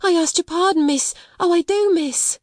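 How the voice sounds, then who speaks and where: A woman's voice: high voice, normal speed, dark tone, dry recording, clean studio sound, voice pushed up, close to an everyday voice. Woman doing a frightened young servant girl. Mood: frightened pleading. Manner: gasping, stammering, pleading. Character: frightened poor scullery girl, young, timid.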